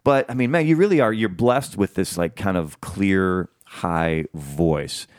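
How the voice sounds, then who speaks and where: A man's voice: low voice, unhurried, no room sound, studio quality. A man hosting an interview, chatting casually and rambling through long questions with many restarts. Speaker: podcast host.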